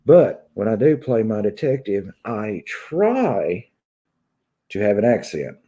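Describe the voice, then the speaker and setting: Dark-voiced man with a Kentucky drawl. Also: on the low side, very animated, slow. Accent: Southern US drawl, Kentucky. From Kentucky, United States. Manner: drawling, conversational. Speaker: YouTube vlogger. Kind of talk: casual chat to viewers, venting. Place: small room at home, indoors.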